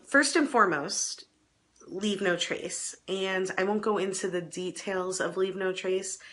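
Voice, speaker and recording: A middle-aged woman, a bit hoarse from a cold, hosting an advice show in a chatty, self-deprecating way with small laughs and restarts. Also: mid-range voice, fairly bright tone. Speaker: advice column host. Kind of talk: casual advice talk to camera.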